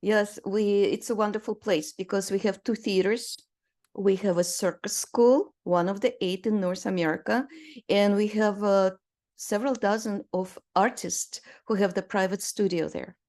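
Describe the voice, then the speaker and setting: A woman's voice: medium pitch, steady tone, moderate pace, darkish tone. Woman in her sixties telling her story. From Romania. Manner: storytelling with fillers and light laughs. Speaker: guest artist. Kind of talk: conversational interview.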